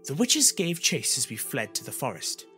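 A man voicing a calm, stoic female warrior, speaking evenly and quietly with grave compassion in a fantasy story, over music. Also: medium pitch, voice pushed up. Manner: even, quiet, measured.

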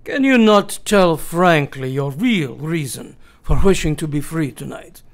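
An actor playing an eccentric, probing professor in a radio play, formal and deliberate. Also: male voice, fairly high pitch, expressive.

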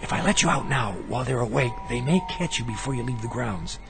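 A man's voice: mid-range voice, fast, gravelly, natural voice. An actor playing an earnest, uneasy, skeptical man in a radio drama, in dialogue and first-person narration. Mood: urgent, hushed. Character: uneasy, skeptical male traveler telling his eerie tale. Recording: music bed behind the voice.

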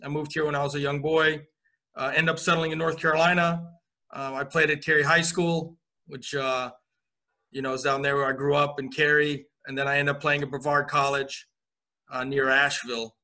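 A man in his forties who grew up abroad, talking through his coaching career over a video call. His voice sits a little high and sounds bright and forward. He rambles easily, with plenty of fillers. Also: normal speed. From Romania. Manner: relaxed storytelling with many uh fillers. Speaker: college women's soccer coach. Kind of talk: video call interview answers. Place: indoor office.

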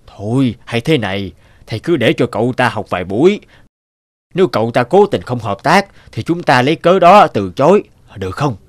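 An actor playing a worried, hesitant middle-aged male school staffer in an animated drama. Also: mid-range voice, moderate pace, no room sound, close to an everyday voice. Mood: persuading.

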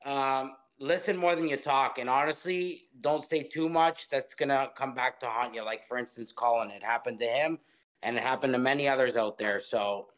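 Measured man giving opinions. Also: mid-range voice, a bit bright, rough recording. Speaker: guest. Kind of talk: casual chat. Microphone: webcam on video call.

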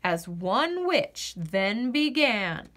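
A woman reading a children's picture book aloud in an expressive, sing-song storyteller's delivery. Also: medium pitch, drawn-out words, slight background noise.

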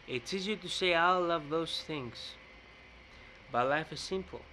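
A young man from Greece with a Greek accent, rambling in a casual vlog rant to his webcam in a bright indoor room, often repeating and restarting words. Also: on the high side, a little slow, a little hiss or hum, rough recording. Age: young adult. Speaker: vlogger. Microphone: webcam close up.

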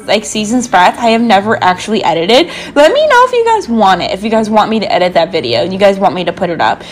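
A young woman gaming creator doing a casual, bubbly, rambling voiceover with a slightly sore throat. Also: a little high. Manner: bubbly, rambling, frequent "like" and asides. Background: background music.

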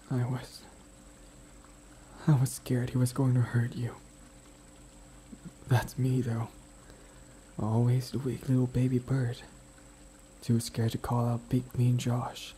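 A man acts out a tender male best friend in mourning for an ASMR audio drama. His mid-pitched voice is mellow and subdued, and he talks slowly and hesitantly, as if confiding in someone close. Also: natural voice. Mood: apologetic. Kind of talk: boyfriend ASMR audio roleplay, intimate dramatic acting. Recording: noticeable background ambient noise.

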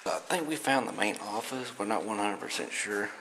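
A man's voice: on the low side, lively intonation, normal speed, gravelly. A man casually narrating as he walks through an abandoned school, swearing and pointing out what he finds, recorded on a handheld camera. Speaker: urban explorer host. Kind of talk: casual narration while exploring. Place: abandoned school building, indoors. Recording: noisy handheld camera audio.

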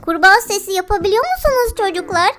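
A woman's voice: very high-pitched, animated, fast talker, bright, forceful tone. A woman doing playful game commentary for children, cooing and exclaiming as she talks to animals and young viewers, often giggly. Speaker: children's game video host. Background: background music.

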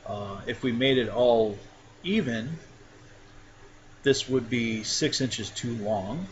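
Relaxed man explaining software steps. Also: medium pitch, takes it slowly. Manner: relaxed, talks through each step with fillers. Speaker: software tutorial instructor. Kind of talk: casual screen-recorded tutorial. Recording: dull lo-fi mic with clear background noise.

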